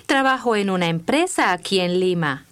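A woman reading scripted language-course dialogue with clear, deliberate enunciation. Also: mid-range voice, expressive, fairly bright tone.

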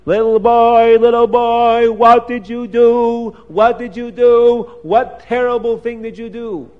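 A man's voice: very high voice, little pitch movement, slow, highs cut off, voice pushed up. A man voicing upset, accusing grown-ups in a children's tale, crying out and repeating their accusations. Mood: horrified accusing. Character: upset, accusing household people scolding a boy.

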